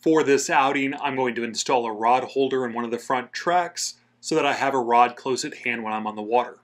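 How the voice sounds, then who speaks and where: A man's voice: mid-range voice, brisk. A man narrating a scripted how-to voice-over as the host of a DIY outdoors channel.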